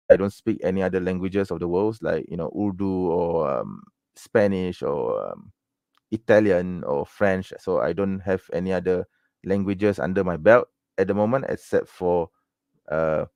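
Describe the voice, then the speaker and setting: Malaysian male livestream host, very low voice, dry close sound, loose rambling delivery with constant tag questions. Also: moderate pace, gravelly, darkish tone, dry recording. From Malaysia, raised in Penang. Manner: rambling, many fillers and tag questions like "okay?" and "right?". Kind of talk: casual livestream chat answering viewer questions.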